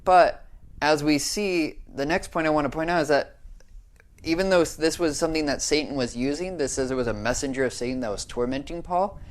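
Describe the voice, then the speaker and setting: Earnest American man giving a devotional. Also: fairly high pitch. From United States. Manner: earnest, conversational, often asks "right?". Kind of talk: short devotional talk.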